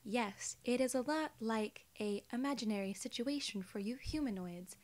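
A young woman playing a polite, curious alien gatekeeper in an ASMR roleplay, soft-spoken and partly whispering, with formal, quaint wording. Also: on the high side, moderate pace, close to an everyday voice. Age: young adult.

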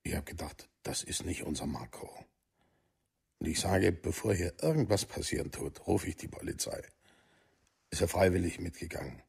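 An actor playing a plain-spoken, exasperated middle-aged East German parent, halting and colloquial, in a documentary radio play. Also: male voice, deep voice, expressive, a little mellow, heavily acted. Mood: troubled. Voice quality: gravelly, husky. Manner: colloquial, halting, seeking agreement.

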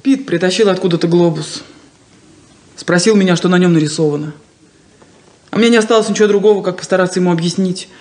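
An actress playing a proud, insecure young daughter in a 1970s Soviet radio play, with a theatrical, defensive, wounded delivery. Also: female voice, lowish voice, quick pace, long pauses, a little mellow, natural voice. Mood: wry hurt. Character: proud, insecure, plain-spoken unmarried daughter. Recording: roomy 1970s radio drama recording.

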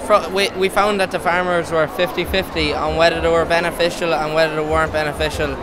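A man's voice: on the high side, level tone, fast, noisy background. A teenage boy with an Irish accent reporting survey results, stumbling and using like. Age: teens. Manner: stumbling, uses like. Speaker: student exhibitor.

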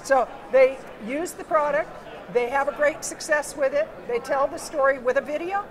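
A middle-aged woman with a high, bright-sounding voice hosts a booth interview, quick and upbeat with short affirmations. A steady crowd murmurs around her lapel-miked voice. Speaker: interview host. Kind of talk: interview hosting. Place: trade show booth set, indoors. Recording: crowd noise behind. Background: crowd chatter.

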